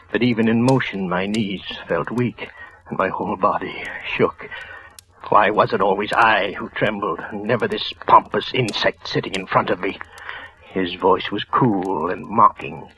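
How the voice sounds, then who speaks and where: An actor playing a nervous, trembling office clerk who narrates in a hushed, tense voice, often sinking to a whisper, in a 1940s radio drama. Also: male voice, fairly low pitch, low-quality recording. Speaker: narrator and lead character. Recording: old radio broadcast with music.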